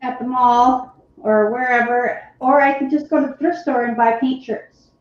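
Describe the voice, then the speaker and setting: A woman's voice: fairly high pitch, steady tone, moderate pace. Rambling woman painting in an echoey room. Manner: rambling, chatty, frequent laughs. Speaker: co-host, painting on stream. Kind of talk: live-stream chat while painting. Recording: very echoey, dull lo-fi sound.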